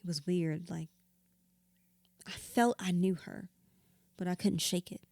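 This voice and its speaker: Bitter hurt widow in a therapy audio drama, raw n sweary, emotions swinging, very dry sound. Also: female voice, on the low side, steady tone, a little slow, gravelly, darkish tone, no echo. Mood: guarded, bitter. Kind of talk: audio drama acting, confessional dialogue.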